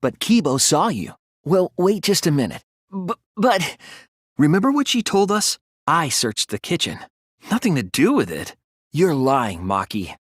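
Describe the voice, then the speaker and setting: A young male detective in a dramatic anime video game. The voice is a little breathy and recorded close and dry, with lively, wide-ranging pitch. He sounds earnest and unsure at times, then argues with real force. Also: unhurried, no echo. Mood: tense, questioning. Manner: earnest, hesitant, turning forceful when arguing. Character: earnest teenage boy detective, hesitant but determined.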